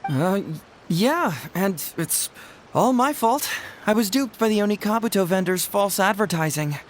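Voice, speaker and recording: A woman's voice: mid-range voice, lively intonation, normal speed, a little hiss or hum, lightly acted. A woman voicing a cocky, clever young male detective in a game, glib and playful. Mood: reassuring. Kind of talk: game cutscene voice acting.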